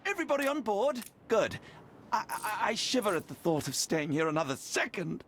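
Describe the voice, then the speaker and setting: A man's voice: high-pitched, big pitch swings, moderate pace, fairly bright tone, slight background noise. An actor playing an eager, nervous, idealistic newspaper publisher in a video game, polite and fussy in his theatrical delivery. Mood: flustered.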